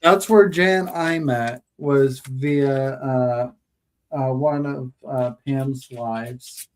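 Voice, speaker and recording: The host of a craft livestream talks slowly and easily, pausing often with ums. The voice sits in a middle pitch. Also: steady tone. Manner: slow, hesitant, many ums. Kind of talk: casual chat.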